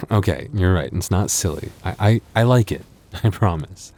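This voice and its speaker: A man playing a gentle, anxious young werewolf boyfriend in an intimate audio roleplay, speaking softly and haltingly. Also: low voice, lively intonation. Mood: tender. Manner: intimate, hesitant, soft-hearted, halting.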